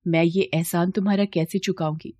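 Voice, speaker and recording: A woman's voice: fairly low pitch, fairly even intonation, brisk, a little mellow, no room sound, studio quality, natural voice. A woman voicing a shape-shifting serpent in a moral story, solemn, earnest and grateful.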